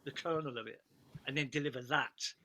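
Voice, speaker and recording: Emphatic man with a bright, forceful voice. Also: medium pitch, a little fast. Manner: emphatic, repeats phrases for stress. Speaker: script reading panellist. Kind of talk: casual panel critique.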